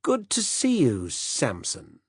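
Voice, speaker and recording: A man's voice: mid-range voice, wildly swooping pitch, slow, slightly rough, dry recording, clean studio sound, pitched above the performer's own voice, heavily acted. A man doing a dithering old British aristocrat. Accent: British upper-class. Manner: dithering, stammering, apologetic. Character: elderly upper-class British male official, absent-minded.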